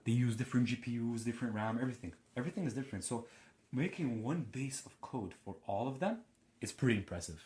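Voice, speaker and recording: A man's voice: medium pitch, fast talker, slightly rough. A congested man co-hosting a podcast in a casual, hesitant chat with lots of fillers. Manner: relaxed, hesitant with many fillers. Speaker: podcast co-host. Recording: overdriven loud mic gain.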